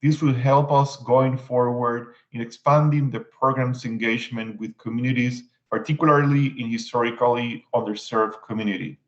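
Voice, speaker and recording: A man from Puerto Rico with a Puerto Rican Spanish accent, giving prepared panel remarks as an agency official, halting with fillers and restarts. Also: mid-range voice, fairly even intonation. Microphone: video call. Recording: dull lo-fi video call audio.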